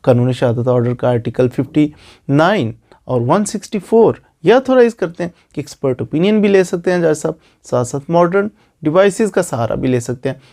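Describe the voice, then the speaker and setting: A man's voice: fairly high pitch, a little fast, dark tone. A man with a Pakistani accent giving an informal legal explainer as a lawyer, repeating phrases for emphasis. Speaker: lawyer explaining law online.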